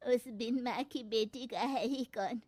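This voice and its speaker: A woman's voice: fairly high pitch, measured pace, dry recording. A woman voicing an old, disapproving mother-in-law in a cartoon family drama, scolding and grumbling on behalf of her grandchild. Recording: loud background noise.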